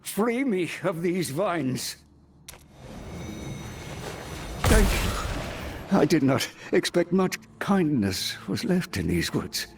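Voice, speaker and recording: An actor playing a wounded captive druid in a fantasy game, speaking formally, weary and halting, grateful yet defiant. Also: male voice, high voice, sing-song, background noise, full character voice. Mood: pleading, grateful.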